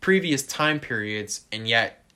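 A man co-hosting a casual music podcast, talking to listeners directly in an animated way and leaning on stock phrases. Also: medium pitch, measured pace, clean tone. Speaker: podcast co-host.

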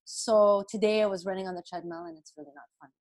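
A woman's voice: medium pitch, fairly even intonation, fast, a little mellow. A woman in her thirties, a podcast guest in casual chat, upbeat and storytelling.

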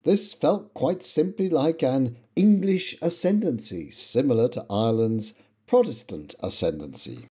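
A man narrates a history podcast from a script, his pitch rising and falling with lively emphasis. His tone is mellow and dark. There is a slight huskiness to it. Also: husky. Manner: measured, lively intonation, reading quotations.

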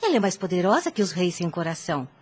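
A woman's voice: lowish voice, very animated, quick pace, breathy edge. An actress playing a devout, troubled woman seeking counsel in a radio drama, earnest and formally worded. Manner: earnest, formal archaic phrasing.

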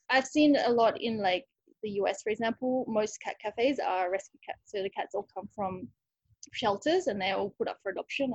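A woman with a slightly high, husky voice talks casually about her work, thinking aloud with frequent "like"s and restarts. The sound is dry and a little dull, as if from a lo-fi recording. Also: no echo. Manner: chatty, thinks aloud with many likes and restarts. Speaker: rescue cat cafe owner. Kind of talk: casual interview answers.

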